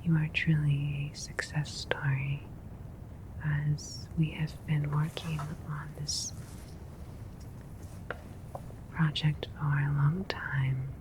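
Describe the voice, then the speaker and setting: A woman playing a gentle, admiring alien scientist doctor in a soothing, clinical whispered ASMR roleplay. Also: low-pitched, noisy background. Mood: proud, calm.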